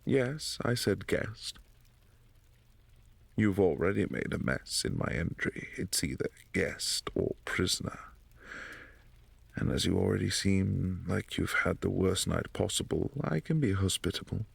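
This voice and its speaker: Man voicing a vampire prince, possessive, commanding, deep gravelly voice, husky edge, ASMR roleplay. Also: expressive, a little slow, dry recording, full character voice. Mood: cool, imperious. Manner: formal, commanding, softly menacing.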